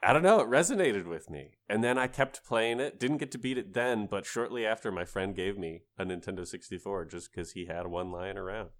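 Deep voiced guy on a gaming podcast telling stories, kinda mock-epic, swears a lot. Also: a little fast, hardly any pauses. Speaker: podcast co-host. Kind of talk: casual storytelling on a gaming podcast.

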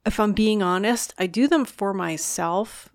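A woman's voice: medium pitch, a little slow, no echo, clean studio sound. A woman hosting a casual conversation, effusive and openly emotional in her praise. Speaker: host.

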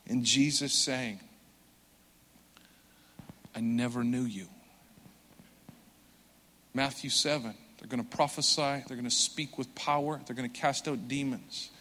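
A man preaching a sermon with earnest conviction, swelling loud for emphasis and dropping soft and slow. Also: medium pitch, fairly even intonation. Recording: long hall reverb.

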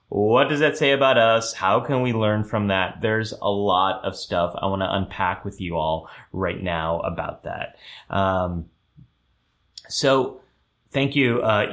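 A man with a slightly low voice hosts a live stream and talks through an idea step by step. He often stops on an um or restarts a sentence, then answers comments from viewers as he goes. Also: fairly bright tone. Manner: explanatory, frequent ums and restarts. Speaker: host of a live show.

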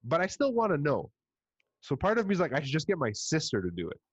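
A young man with a Montreal English accent, hosting a podcast in casual chat, an animated storyteller who swears and puts on voices. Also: a little high, quick pace, breathy edge, no echo. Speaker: podcast host.

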